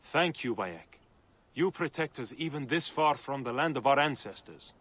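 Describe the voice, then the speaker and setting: An actor playing a defiant, earnest captive farmhand in a video game, speaking with urgency. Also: male voice, medium pitch, expressive, normal speed, fairly bright tone. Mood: concerned, grateful. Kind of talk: dramatic video game acting.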